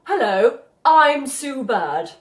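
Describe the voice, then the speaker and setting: A woman's voice: high-pitched, big pitch swings, slow, breathy edge, heavily acted. A woman playing a frank, breezy, confident mother in a radio comedy-drama. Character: frank, breezy, self-assured unconventional mother.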